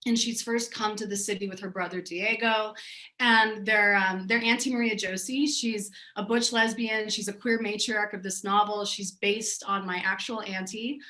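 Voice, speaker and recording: A woman's voice: mid-range voice, steady tone, normal speed, bright, forceful tone. A woman talking as a novelist on a panel, explaining her writing in a reflective, articulate way. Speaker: novelist on a book festival panel. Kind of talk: reflective talk about own writing.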